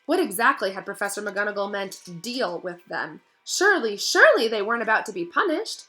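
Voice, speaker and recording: A woman narrating a novel aloud in an expressive storytelling style. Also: medium pitch, quick pace. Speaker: reader, narrator. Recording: music behind the voice.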